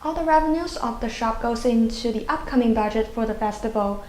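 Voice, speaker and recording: A woman's voice: on the high side, fairly quick, a little mellow, slight background noise. A young woman working as a student TV reporter, delivering campus news in narration and stand-ups. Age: young adult.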